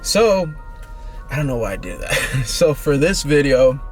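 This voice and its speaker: A man in his twenties, vlogging in a relaxed, rambling, slangy way, answering questions about himself. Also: medium pitch, unhurried, faint music behind. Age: 20s. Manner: laid-back, rambling, slangy. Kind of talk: casual get-to-know-me chat.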